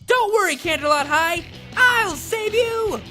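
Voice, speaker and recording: A man voicing a brash, overdramatic teenage girl rocker who belts and shouts like a hero in a comic story reading. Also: extremely high pitch, lively intonation, measured pace, over music, pitched above the performer's own voice. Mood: heroic shouting. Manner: belting, shouting theatrically.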